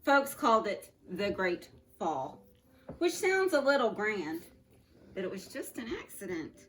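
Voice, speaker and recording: Bright-voiced teacher reading a storybook aloud. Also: female voice, mid-range voice, a little slow, slight background noise. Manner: expressive reading with soft asides to children. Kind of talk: reading a picture book aloud to her class. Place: at home.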